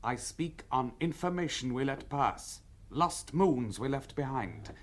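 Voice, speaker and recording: A slow, stern spaceship officer. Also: male voice, mid-range voice, animated. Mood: grave, reproachful. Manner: clipped, grave, formal. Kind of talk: 1960s radio drama.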